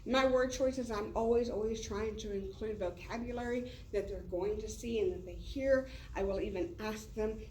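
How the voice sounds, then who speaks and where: A woman's voice: mid-range voice, moderate pace, hardly any pauses, a little mellow, a little hiss or hum. A woman teacher talking casually to colleagues in a meeting room, loose and chatty with many fillers. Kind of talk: casual professional development talk. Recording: roomy echo, heard from a distance.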